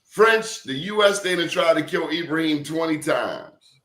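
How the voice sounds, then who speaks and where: A man's voice: fairly high pitch, measured pace, a bit bright, echoey room. A man hosting an interview show, telling stories in an emphatic, preachy way. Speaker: show host. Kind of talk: casual interview talk.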